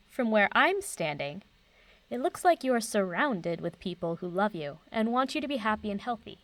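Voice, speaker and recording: A woman playing an eminent older professor and doctor in an audio drama, speaking in a composed, measured and formal way, kind and wry. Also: medium pitch, no room sound, lightly acted.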